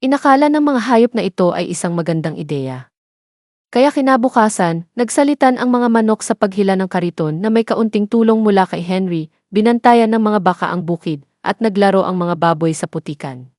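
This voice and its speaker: A woman's voice: medium pitch, lively intonation, brisk, a little mellow, no room sound, clean studio sound. A woman with a Filipino accent narrating a scripted children's bedtime story. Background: music bed.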